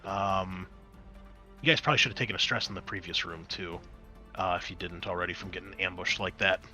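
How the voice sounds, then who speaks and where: A man's voice: deep voice, steady tone, a little fast, bright, forceful tone, faint music behind. A man running a tabletop roleplaying game as game master, switching between conversational rules talk and dramatic scene description.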